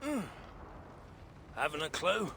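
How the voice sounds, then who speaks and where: An actor playing a stubborn, eccentric old dwarf inventor in a video game, musing in folksy, rambling, colloquial speech. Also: male voice, fairly high pitch, very animated, slow, vocal fry, background noise, heavily acted. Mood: musing, wry. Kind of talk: dramatic video game acting.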